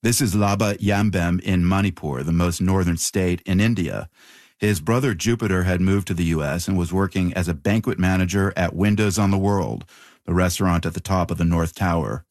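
A man's voice: low voice, normal speed, darkish tone, dry recording, studio quality. A man hosting and narrating a news documentary from a prepared script. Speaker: radio documentary host.

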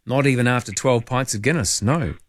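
A talkative New Zealand man hosts an overnight talkback show. His voice sits at an ordinary middle pitch and carries plenty of quick asides and jokes. The studio sound is close and dry, with no room in it. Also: no room sound. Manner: chatty, jokey, thinks aloud with quick asides. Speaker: talkback radio host. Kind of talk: late-night talkback radio chat. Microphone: studio microphone.

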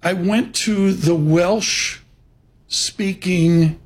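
A man's voice: high-pitched, very slow, breathy edge. A man hosting a radio show, telling a rambling, chatty story between segments. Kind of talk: casual on-air banter and read ads.

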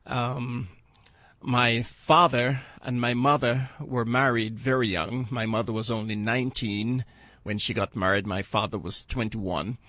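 An older man, telling his personal story earnestly and deliberately as an interview guest, repeating phrases for emphasis. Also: mid-range voice, steady tone, sharp tone, no echo. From Barbados.

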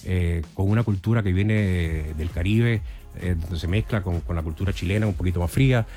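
Cuban man in his fifties, low mellow voice, hesitant with fillers, faint music behind. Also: moderate pace. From Cuba. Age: 50s. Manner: hesitant, many fillers and restarts. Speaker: guest architect. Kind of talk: radio interview.

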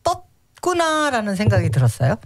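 Expressive woman co-hosting, lively pitch. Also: moderate pace, no echo. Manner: conversational, acts out short quotes. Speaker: radio show co-host. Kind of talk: casual radio chat.

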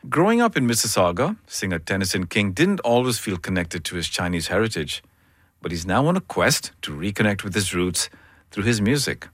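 A male radio host with a low voice reads arts announcements in a lively, varied tone. The sound is close and dry, with no room echo. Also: fairly quick. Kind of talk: scripted radio presenting.

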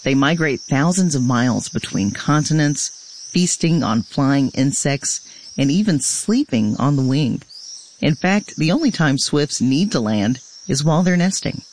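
A man's voice: on the high side, animated, measured pace, a little mellow, slight background noise. A man reading scripted narration as the host of a short radio nature program.

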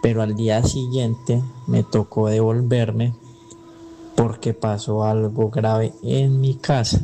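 Mellow-voiced Colombian man calling in. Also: lowish voice, little pitch movement, slow pace, creaky, slight background noise. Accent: Colombian Spanish. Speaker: caller to a radio show. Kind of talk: casual personal storytelling.

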